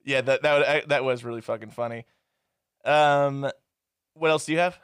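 A man co-hosting a casual movie review podcast, animated and swearing freely. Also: mid-range voice, clean tone, no echo. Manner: animated, swearing, quick asides. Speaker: podcast co-host.

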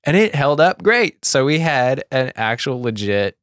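Brief: young male podcast co-host, loose rambling storyteller, mid-pitched, close dry mic. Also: big pitch swings, unhurried, fairly bright tone, dry recording. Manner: rambling, laughs often, says like a lot. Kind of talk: casual storytelling chat.

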